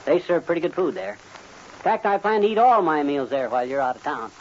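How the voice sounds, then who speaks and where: Boastful, folksy American husband played by a male actor, 1950s radio comedy, rapid delivery, hissy old recording. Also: on the high side, animated, lo-fi sound, natural voice. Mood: chatty confidence. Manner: folksy, tongue-twisting wordplay, pompous phrasing.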